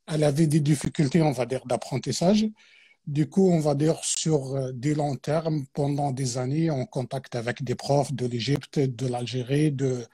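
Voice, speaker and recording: Guy teaching on a livestream, mellow husky voice, lots of euh and restarts. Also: mid-range voice. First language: Arabic. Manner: hesitant, many fillers and restarts. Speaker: guest teacher. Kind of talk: explanatory religious talk on a live stream.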